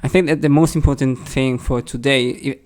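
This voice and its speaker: A man's voice: mid-range voice, fairly even intonation, moderate pace. A young man with a Hebrew accent tinged with British English, co-hosting a casual podcast discussion, thoughtful and hesitant, often restarting his sentences. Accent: Hebrew-accented English with a slight British tinge. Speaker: podcast co-host.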